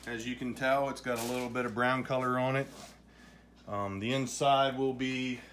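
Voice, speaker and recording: A plainspoken man in his forties chats his way through a home cooking video with easy, folksy jokes. His voice sits at an ordinary pitch for a man. The phone picks him up from a distance, with gusts of wind buffeting the mic. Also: fairly even intonation, moderate pace, a bit bright. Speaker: home cooking vlog host. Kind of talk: casual cooking show chat. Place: outdoors by a smoker in wind and snow.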